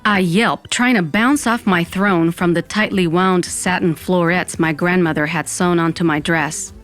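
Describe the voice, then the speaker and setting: Wry low-voiced undead narrator. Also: female voice, steady tone, a little slow, fairly bright tone. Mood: sardonic. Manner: wry, dramatic, peppered with Spanish exclamations. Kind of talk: first-person audio fiction narration. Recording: music bed under the voice.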